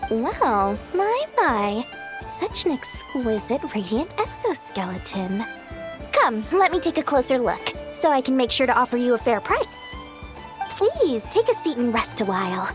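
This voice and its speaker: A woman voicing a small, girlish, money-minded merchant in a video game, with an effusive, flattering and theatrical manner. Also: on the high side, measured pace, music in the background. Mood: fawning. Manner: effusive, flowery salesperson's patter. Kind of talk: animated video game voice acting.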